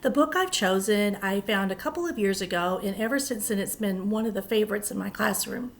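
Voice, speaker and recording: A woman teacher reading a picture book aloud to young children, animated and expressive, with chatty asides. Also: mid-range voice, fairly quick, creaky, a little hiss or hum.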